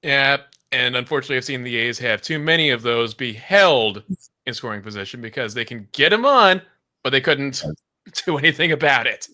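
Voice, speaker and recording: A man's voice: mid-range voice, normal speed, pushed and bright. A man giving casual colour commentary on a live baseball game.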